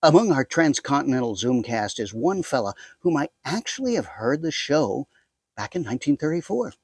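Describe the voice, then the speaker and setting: A man's voice: mid-range voice, sing-song, moderate pace, husky, dry recording, studio quality. An American man hosting an introduction to a radio drama, talking casually with wry, chatty asides. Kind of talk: casual scripted introduction to camera.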